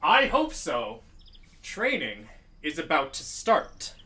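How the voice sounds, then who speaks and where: Guy doing a stern growly cat deputy for an audiobook dub, menacing, forceful, swingy pitch. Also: wildly swooping pitch, slow pace, husky, full character voice. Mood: stern, impatient. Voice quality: deep growl. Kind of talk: acted fan audiobook dub.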